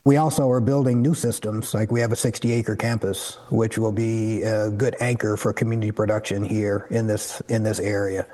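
Mellow-voiced man explaining earnestly. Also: on the low side, little pitch movement, moderate pace, few pauses. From Detroit, USA. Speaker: community organizer guest. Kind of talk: interview answers.

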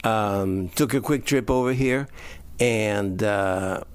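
A man hosting a radio interview, relaxed, chiming in with short agreements. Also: on the low side, slow pace, smooth, no room sound. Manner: laid-back, brief affirmations. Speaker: radio host. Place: in person in New York.

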